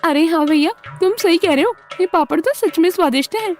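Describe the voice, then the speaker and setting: Woman playing a very high-pitched village customer. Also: brisk, darkish tone, faint music behind. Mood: pleased. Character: curious village woman customer, skeptical then approving.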